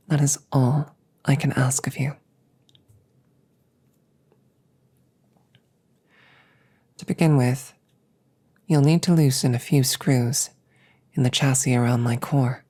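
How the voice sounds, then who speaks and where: Female android, acted by a woman, intimate audio drama: very low, husky near-whisper, calm, protective, measured and reassuring. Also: full character voice. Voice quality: soft, breathy, often near a whisper. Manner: slow, measured, soothing, formal phrasing. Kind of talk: intimate roleplay audio drama, sleep aid.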